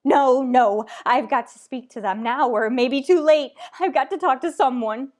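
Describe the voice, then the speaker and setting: Woman acting a frantic, high-voiced, nervous wife. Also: normal speed, a little mellow, lightly acted. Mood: desperate, indignant. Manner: overwrought, imperious, melodramatic. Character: frantic, nervous, demanding married woman home alone. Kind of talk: retro radio thriller acting.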